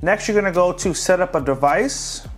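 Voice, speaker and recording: A man hosting a tech tutorial, calmly narrating each step of a device reset in a casual, friendly way. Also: high voice, normal speed, faint music behind. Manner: friendly, relaxed, walks viewers through each step.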